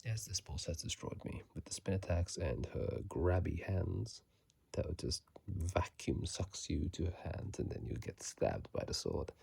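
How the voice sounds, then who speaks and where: An ASMR gaming host putting on a raspy, gravelly low voice for a hushed, drawn-out reading of game lore and tips into a phone microphone. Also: no room sound, heavily acted. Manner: slow, hushed, drawn-out delivery with throat clearing.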